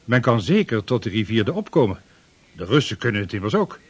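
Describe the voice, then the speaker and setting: Brief: a persuasive seafarer, husky and modest. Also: male voice, fairly low pitch, big pitch swings, fairly quick. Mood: earnest. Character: earnest seasoned voyager and trader, adult man. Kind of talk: period radio drama acting.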